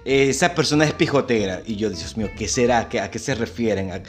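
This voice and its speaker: Venezuelan man, casual storyteller, quick to laugh, faint music underneath. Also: mid-range voice, expressive. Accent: Venezuelan Spanish. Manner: chatty, laughs often, storytelling. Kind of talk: casual chat.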